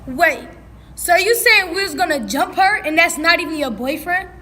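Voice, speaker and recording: A woman's voice: very high-pitched, expressive, normal speed, bright, forceful tone, slight background noise, heavily acted. A woman playing a no-nonsense, questioning teenage schoolgirl in casual drama dialogue. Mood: challenging, skeptical. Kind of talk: stage play video skit, casual dialogue.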